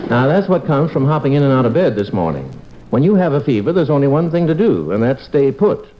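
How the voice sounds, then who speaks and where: A man's voice: medium pitch, big pitch swings, fairly quick, background noise, lightly acted. A man playing a kindly, easygoing small-town doctor and father in an old radio comedy-drama, on a muffled recording. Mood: gentle concern. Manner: gentle, fatherly, conversational.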